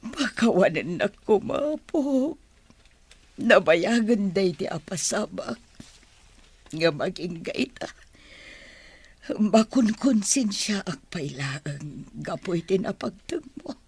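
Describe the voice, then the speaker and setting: A woman's voice: medium pitch, sing-song, slow, pauses often, darkish tone, slightly rough, exaggerated voice. A woman acting a remorseful, emotional old grandmother with an Ilocano Filipino accent in a radio drama. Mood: tearful, remorseful.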